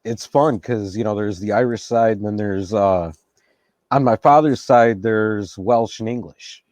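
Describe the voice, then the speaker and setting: American guy hosting a podcast, lowish voice, dry close sound, laid back. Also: a little slow, no echo. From United States. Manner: relaxed, laughs easily, reflective. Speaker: podcast host. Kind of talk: casual interview.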